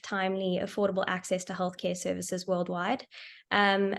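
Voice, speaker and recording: Young South African woman, panelist; steady, earnest, monotone, a few ums. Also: mid-range voice. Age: young adult. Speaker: panelist, medical doctor. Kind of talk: panel discussion.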